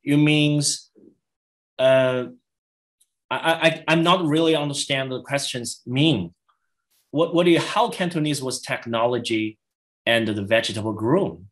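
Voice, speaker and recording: A middle-aged man, a native Chinese speaker, answering questions after a lecture in halting English with many restarts, over a video call. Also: mid-range voice, steady tone, fairly bright tone. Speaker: guest lecturer. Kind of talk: academic Q&A answers.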